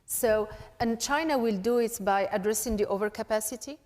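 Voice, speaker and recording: High-voiced woman panelist in a hall. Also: normal speed, a little mellow. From Morocco. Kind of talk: unscripted panel discussion. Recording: echoey conference hall.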